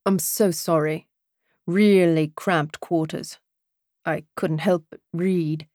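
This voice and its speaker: A woman voicing a polite, flirtatious British man in an audiobook, courteous and softly teasing. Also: lowish voice, steady tone, slow, dry recording, studio quality. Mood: apologetic, polite.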